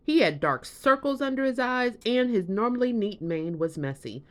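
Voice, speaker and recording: Animated, high-voiced woman reading a bedtime story. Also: normal speed.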